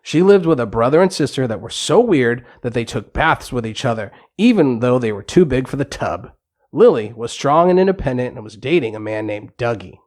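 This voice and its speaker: A man's voice: mid-range voice, animated, a little fast, darkish tone. Male narrator for a children's audio storybook, mid-range voice, scripted bedtime tale delivery.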